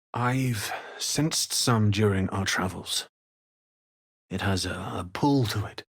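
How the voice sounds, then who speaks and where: A man voicing a kindly, earnest young tiefling blacksmith in a video game, speaking in a gentle, sincere, measured way. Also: medium pitch, lightly acted. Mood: warm, intrigued. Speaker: voice actor. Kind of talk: video game character dialogue.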